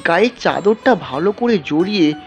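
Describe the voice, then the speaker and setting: A man narrating a scripted story in an expressive, unhurried storytelling style. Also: high-pitched. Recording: dull, lo-fi sound. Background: music.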